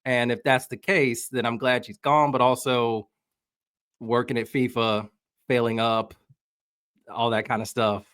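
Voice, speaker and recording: Guest commentator: American man, average pitch, casual and wry, recorded dry with no room sound. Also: level tone, measured pace, long pauses, fairly bright tone, clear, resonant tone, dry recording. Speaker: podcast guest, soccer journalist.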